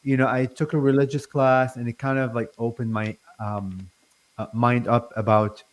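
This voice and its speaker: Brief: man, forties, born in Lebanon, halting speech with a stutter, remote guest. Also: medium pitch, level tone. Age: 40s. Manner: halting, many fillers and restarts, stutters. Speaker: guest channeler. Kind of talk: casual interview talk.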